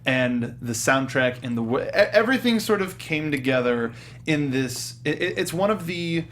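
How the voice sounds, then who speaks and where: A man's voice: a little high, animated, moderate pace, fairly bright tone. A man co-hosting a casual discussion show, speaking in an enthusiastic, emphatic way. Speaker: talk show co-host.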